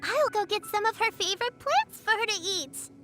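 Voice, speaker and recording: A woman's voice: very high voice, expressive, normal speed. A woman voicing a sweet, earnest little girl in an anime game, caring, innocent and chatty. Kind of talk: anime game character voicelines. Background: background music.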